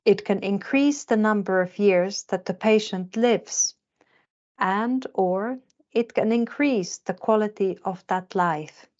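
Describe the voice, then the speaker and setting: Finnish-born woman in her 40s reading a lecture, slow and careful, bit muffled lo-fi sound. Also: medium pitch, a little mellow. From Finland. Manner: measured, carefully paced delivery. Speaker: health economist. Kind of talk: scripted lecture narration. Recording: slightly dull lo-fi recording.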